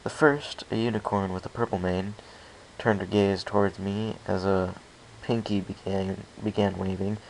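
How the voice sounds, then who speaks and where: A man's voice: sits low, little pitch movement, normal speed, vocal fry, low-quality recording. A man narrating a first-person story as an amateur audiobook reader, reading carefully with some stumbles. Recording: faint background hiss.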